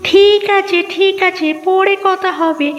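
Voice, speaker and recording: A woman voicing a nagging but caring village housewife and mother in a children's cartoon, fussy and expressive. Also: very high-pitched, slow pace, long reverb tail, soft background music. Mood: surprised, fussy. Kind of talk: children's moral story cartoon dubbing.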